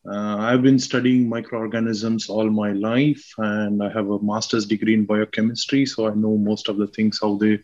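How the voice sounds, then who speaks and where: A man from South India with a South Indian accent, a guest scientist explaining slowly with many fillers and restarts. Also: fairly low pitch, little pitch movement, darkish tone, dull audio. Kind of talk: explaining science in a casual chat.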